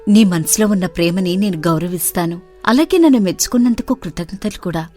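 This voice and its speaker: A woman voicing a wise, composed young village woman who speaks in a measured, polite and thoughtful way, in an animated story. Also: on the low side, soft background music, natural voice. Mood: gentle.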